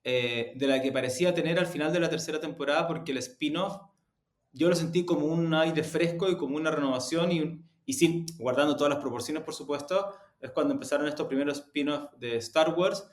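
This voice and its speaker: A man's voice: a little high, a little fast. A man with a Chilean accent giving spontaneous, reflective interview answers, often searching for words and rephrasing. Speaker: interview guest, podcast company executive. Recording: roomy, echoing sound.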